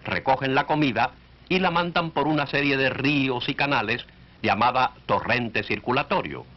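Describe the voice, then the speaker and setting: A man's voice: mid-range voice, moderate pace. A man narrating a 1940s educational film in an instructive way, speaking directly to the listener. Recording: dull, band-limited 1940s film soundtrack.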